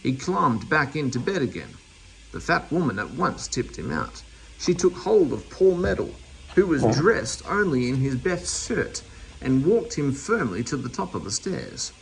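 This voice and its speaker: A man's voice: mid-range voice, sing-song, unhurried, gravelly, poor audio. A man reading a children's story aloud as narrator. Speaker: storybook reader.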